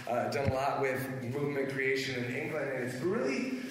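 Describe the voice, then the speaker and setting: Energetic pastor in an echoey hall. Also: male voice, medium pitch, moderate pace, far from the mic, a crowd in the background. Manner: energetic, rambling with restarts. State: slightly hoarse. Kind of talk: conference panel talk. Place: large conference hall.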